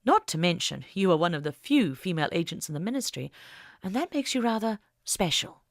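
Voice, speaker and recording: Genteel older suffragist lady, acted by a woman reader, assured, warm, gracious, very varied intonation, storybook drama. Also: on the low side, big pitch swings, brisk, no echo, studio quality. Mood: gracious, encouraging.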